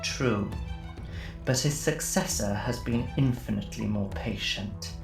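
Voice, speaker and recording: A woman's voice: extremely low pitch, measured pace, vocal fry, heavily acted. A woman playing a smug, menacing cult leader in an audio drama, patronising and theatrical. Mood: gloating, menacing. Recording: echoey, faint music behind.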